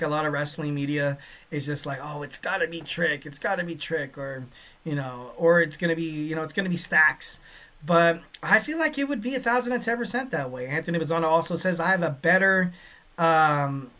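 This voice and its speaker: Young male podcast host, rambling and excitable, bright forward tone. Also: fairly high pitch. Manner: rambling, many fillers, excitable bursts. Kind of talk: casual solo podcast talk reading listener chat.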